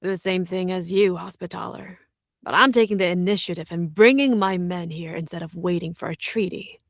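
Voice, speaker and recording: A woman voicing a cocky female knight commander who taunts with a smirk, in a slow, drawn-out delivery. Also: on the low side, dry recording, clean studio sound, close to an everyday voice. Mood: challenging.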